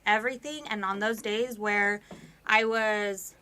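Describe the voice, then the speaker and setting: A woman's voice: mid-range voice, fairly even intonation, unhurried, pushed and bright. A woman in her twenties, a podcast guest, talking candidly and at length with many fillers. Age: 20s. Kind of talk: casual conversation.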